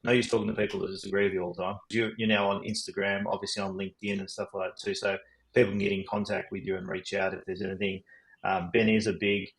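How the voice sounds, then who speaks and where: A middle-aged man co-hosts a podcast in a relaxed, chatty way, full of fillers and friendly asides. His voice sits very low and stays level. He talks quickly. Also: low-quality recording. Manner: relaxed, chatty, says mate, many fillers. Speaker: podcast co-host. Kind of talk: casual podcast chat.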